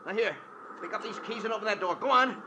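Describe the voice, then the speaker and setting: A man's voice: high voice, fast talker, muffled recording, natural voice. A man playing a desperate, bitter, cornered husband, menacing and agitated, in 1940s radio drama style. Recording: noisy old radio drama recording.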